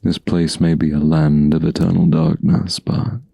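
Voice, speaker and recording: A man voicing a gentle, protective huntsman in a soft-spoken, intimate ASMR fantasy roleplay, with slow, soothing, old-fashioned phrasing and a low, gravelly, breathy voice. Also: level tone, dry recording, studio quality, heavily acted. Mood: grave, brooding.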